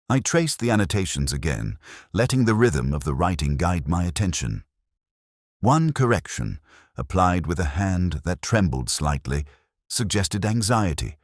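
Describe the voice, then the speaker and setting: A man playing a brooding, intellectual police detective, narrating a mystery story in a measured, contemplative, literary manner. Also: a bass voice, no echo, studio quality, exaggerated voice. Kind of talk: scripted first-person detective story narration.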